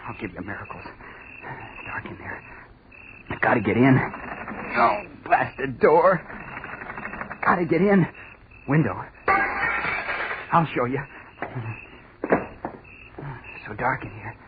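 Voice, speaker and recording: A man's voice: mid-range voice, big pitch swings, takes it slowly, long pauses. On a noisy, tinny 1940s radio recording, an unhinged young American student chemist. He mutters obsessively, repeats himself, and builds to fevered cries in old horror-drama style. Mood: frantic muttering.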